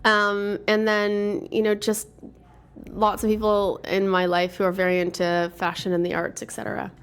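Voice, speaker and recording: A woman's voice: medium pitch, monotone, moderate pace, clear, resonant tone. Upbeat middle-aged woman guest. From Toronto, Canada. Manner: warm, upbeat, many ums. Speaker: interview guest, marketing executive. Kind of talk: casual conversation.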